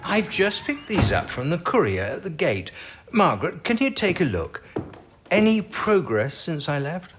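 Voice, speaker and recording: An actor playing an impatient British codebreaking chief in a wartime audio drama, with a clipped, exasperated, insistent manner. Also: male voice, a little high, sing-song, a little slow, background noise. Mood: brisk, urgent.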